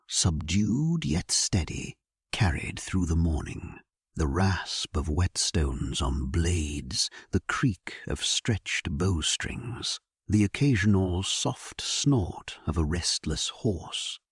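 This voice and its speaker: Deep, slow, breathy male storyteller. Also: no echo, studio quality. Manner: solemn, measured, hushed storytelling. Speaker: audio story narrator. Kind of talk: first-person scripted narration for a sleep story.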